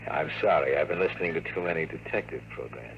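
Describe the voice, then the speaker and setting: An American actor playing a cocky, wisecracking private investigator in a 1950s radio drama, with dry, slangy tough talk. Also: male voice, very deep voice, fast, vocal fry. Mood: flirtatious, wry. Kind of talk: 1950s radio drama acting, hardboiled narration. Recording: noisy vintage 1950s radio recording.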